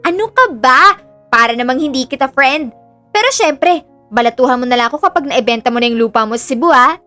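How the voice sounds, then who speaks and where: Woman doing an excitable young filipina bestie for an audio drama, really high voice, protective, music underneath. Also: fairly quick. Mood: animated. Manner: exclamatory, expressive, protective, slangy Taglish. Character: young woman, excitable loyal best friend and housemaid.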